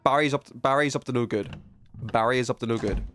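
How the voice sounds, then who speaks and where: A man's voice: mid-range voice, quick pace, fairly bright tone, slight background noise. A man live streaming a video game with casual, slangy commentary full of swearing.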